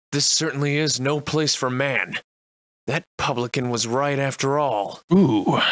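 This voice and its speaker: An actor playing a wry, defiant Victorian-era adventurer and monster slayer in a video game, soliloquizing in florid, old-fashioned diction. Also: male voice, mid-range voice, fairly bright tone, no echo, natural voice. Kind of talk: theatrical video game voice lines in archaic English.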